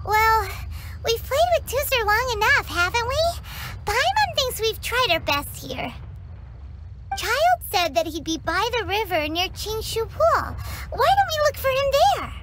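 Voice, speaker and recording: A woman voicing a tiny, chirpy fairy companion in a video game cutscene, with a high childlike voice and bubbly, excitable delivery. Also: a little slow, heavy background noise, full character voice. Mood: coaxing. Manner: bubbly, excitable, refers to herself in third person.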